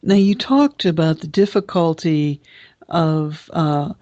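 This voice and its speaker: An American radio show host in a measured interview, asking questions plainly. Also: mid-range voice, mellow, no echo, muffled recording. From United States.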